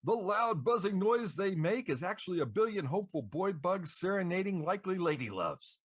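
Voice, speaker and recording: Guy hosting a podcast, playful and animated, close dry sound. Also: high voice, moderate pace, husky, dry recording. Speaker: podcast host. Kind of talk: scripted host banter and introductions.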